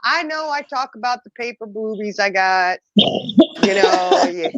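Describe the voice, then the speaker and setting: A woman's voice: fairly high pitch, lively intonation, a little slow, dull audio, noisy background. A woman co-hosting a casual chat, teasing and joking. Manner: teasing, dry joker. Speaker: podcast co-host.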